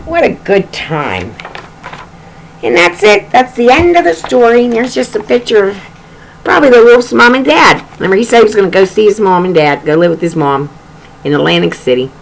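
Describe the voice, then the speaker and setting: A woman's voice: medium pitch, big pitch swings, brisk, gravelly, slight background noise, rough recording. A woman reading a children's storybook aloud in an informal way, with chatty, folksy asides about the pictures.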